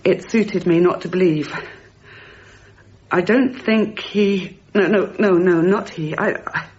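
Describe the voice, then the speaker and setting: Halting, grief-stricken mother, slightly muffled. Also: lowish voice, a little mellow. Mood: anguished, insistent. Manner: halting, repetitive, self-correcting speech. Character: grieving mother, rational, brittle, haunted by her loss. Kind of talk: naturalistic radio drama acting.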